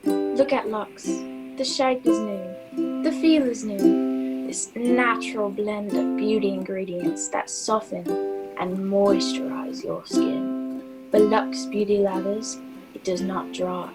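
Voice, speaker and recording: A woman playing a gentle, persuasive old-time radio soap advertiser in a radio play. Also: medium pitch, fairly even intonation, slow, lightly acted, low-quality recording. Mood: soothing. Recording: loud music under video call audio.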